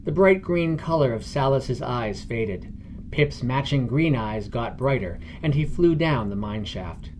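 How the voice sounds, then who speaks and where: A man's voice: medium pitch, animated, unhurried, darkish tone, a little hiss or hum. A man reading scripted narration for a fantasy adventure podcast.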